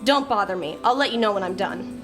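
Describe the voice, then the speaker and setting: A woman playing an impatient, sharp-tongued young coder who talks bluntly and sarcastically. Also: medium pitch, brisk, faint music behind, natural voice. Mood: irritated.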